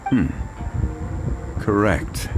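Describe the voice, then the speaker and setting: A man voicing a composed, scholarly video game investigator who speaks in a formal, measured, deliberate way. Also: music in the background. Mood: pensive. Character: composed, scholarly gentleman investigator, calmly analytical.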